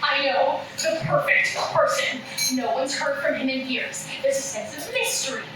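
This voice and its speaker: Woman acting very high-pitched, prim, earnest podcaster. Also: normal speed, natural voice. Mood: hopeful. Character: Claire, earnest, prim, self-deprecating failed-actor podcast host. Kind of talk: live table read of a comedy script. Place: indoor room at a table read. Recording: roomy echo, distant mic, background noise.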